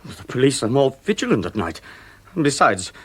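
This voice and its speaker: An actor playing a desperate, self-justifying murderer in a radio drama, brooding theatrically with sudden nervy outbursts. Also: male voice, mid-range voice, wildly swooping pitch, a little fast, breathy edge. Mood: defensive, agitated.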